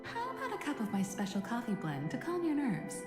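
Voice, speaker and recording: Poised game navigator, encouraging and playful, expressive pitch, music underneath. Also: female voice, brisk. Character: poised, friendly woman crew navigator, gently teasing. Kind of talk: video game voice acting. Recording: echoey hall reverb with music behind.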